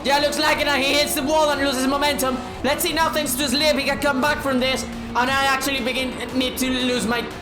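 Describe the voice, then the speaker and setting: Woman, high voice, sim race commentator, sore strained throat, swears, shouts, echoey room, music behind. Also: level tone, brisk, few pauses. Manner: swears a lot, shouts at big moments, drops to a low tone to save her voice. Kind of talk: excited sim racing commentary. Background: background music.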